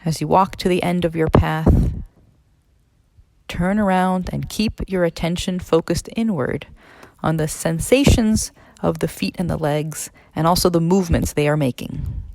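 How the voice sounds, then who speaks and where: A woman narrating a guided meditation as an instructor, in a measured, instructional way with pauses between phrases. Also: lowish voice, creaky, a little mellow, no room sound. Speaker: neurologist leading a guided meditation.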